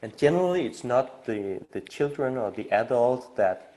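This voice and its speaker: A man's voice: mid-range voice, moderate pace. Danish man presenting on a call. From Denmark. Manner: relaxed and hesitant, many filler sounds, laughs lightly. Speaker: community initiator presenting on a call. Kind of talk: informal presentation on a video call. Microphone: video call microphone.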